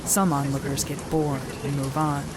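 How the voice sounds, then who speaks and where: An actress playing a battle-hardened warrior sister, who narrates in the first person in a measured, firm way. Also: female voice, very deep voice, flat delivery, heavy background noise. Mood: calm firm. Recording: rain ambience behind the voice.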